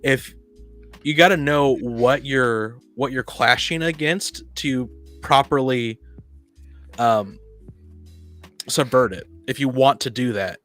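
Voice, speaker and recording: Halting man in casual discussion, faint music. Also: mid-range voice, fairly bright tone. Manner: halting, repeats and rephrases mid-sentence. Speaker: podcast co-host. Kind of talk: casual discussion of tabletop roleplaying.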